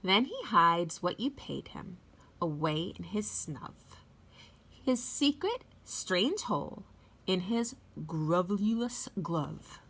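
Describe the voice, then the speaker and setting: A middle-aged woman talking and reading aloud to young children in an expressive teacher's manner. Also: on the low side, takes it slowly, husky. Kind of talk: children's read-aloud with chatty introduction. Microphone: phone at arm's length.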